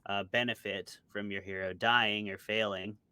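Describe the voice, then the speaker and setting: A man's voice: on the low side, fairly even intonation, moderate pace, pushed and bright, clear, resonant tone, dry recording. A man talking casually as an interview guest, giving his opinions and explaining them with examples. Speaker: interview guest, author and podcast co-host. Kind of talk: casual conversational discussion.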